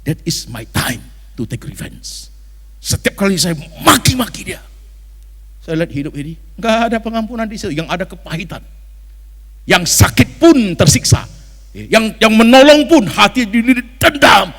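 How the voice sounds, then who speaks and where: Animated Indonesian male preacher in a reverberant hall. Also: high-pitched, normal speed, husky. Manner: dramatic, colloquial, mixes in English phrases. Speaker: pastor preaching. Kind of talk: animated storytelling sermon acting out dialogue. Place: large church function hall.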